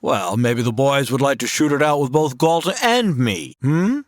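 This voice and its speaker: A man voicing a patient, soft-spoken Old West drifter with a slow cowboy drawl, in a western radio-drama parody. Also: mid-range voice, animated, no echo, clean studio sound, lightly acted. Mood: calm. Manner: drawling, measured, patient cowboy talk; whispered narration.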